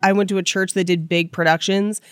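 A woman's voice: on the low side, fairly even intonation, brisk, smooth, no echo, studio quality. A woman from the Bay Area, a guest comedian telling laid-back, wry stories on a podcast. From San Francisco Bay Area. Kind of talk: casual comedy podcast chat.

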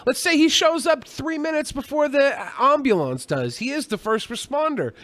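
A man's voice: extremely high pitch, lively intonation, moderate pace, a bit bright, no echo. A man co-hosting a podcast, chatting casually in a jokey, rambling, profane way. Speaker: podcast co-host.

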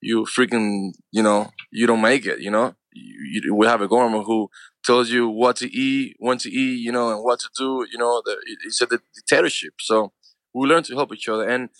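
A man's voice: mid-range voice, moderate pace, fairly bright tone, no echo, low-quality recording. A man around forty with a Cuban accent, Spanish his first language, talking earnestly as an interview guest and peppering his speech with "man" and "you know". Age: 40s. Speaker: actor and filmmaker guest. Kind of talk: casual interview talk.

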